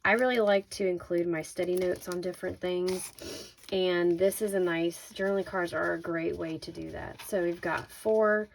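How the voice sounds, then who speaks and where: A woman with a slightly raspy voice hosting a casual craft unboxing, chatting informally and thinking aloud as she shows each item. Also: lowish voice, steady tone, normal speed. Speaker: craft video host. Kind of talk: casual unboxing product walkthrough. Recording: noisy, with crinkling handling sounds.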